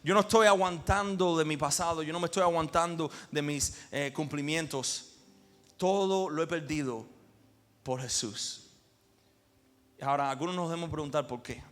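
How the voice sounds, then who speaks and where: A man from Cuba, preaching a sermon as pastor with emphatic swells and pauses. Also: fairly high pitch, fairly bright tone.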